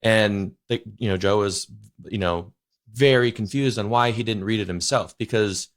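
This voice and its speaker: A man's voice: fairly low pitch, moderate pace. A young man hosting a podcast, chatting casually and rambling, with lots of "you know" and "like". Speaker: podcast host. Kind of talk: casual interview chat.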